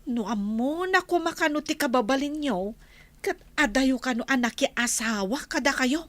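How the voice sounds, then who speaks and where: A woman's voice: high voice, animated, moderate pace, fairly bright tone, dry recording. A woman playing a naive, tactless young household helper in a comic radio drama, with a Filipino accent. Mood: reporting, naive.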